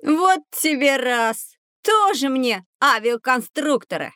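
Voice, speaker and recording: Eager high-voiced young schoolboy. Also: female voice, slow, no echo, studio quality, full character voice. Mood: surprised, scornful. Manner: eager, exclamatory, expressive. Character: curious boastful young schoolboy named Petya. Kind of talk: scripted children's educational audio drama.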